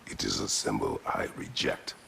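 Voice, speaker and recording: A man's voice: rumbling low, steady tone, moderate pace, creaky, pushed and bright, a little hiss or hum, heavily acted. An actor playing a stern, weary male warrior god in a video game, speaking in a terse, grim, curt way. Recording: reverberant game audio with music.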